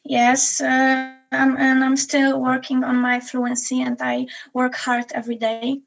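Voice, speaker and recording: A woman's voice: high voice, monotone, unhurried, vocal fry, soft background music, low-quality recording. A woman student of English giving short, shy answers in a class conversation. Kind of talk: casual conversation.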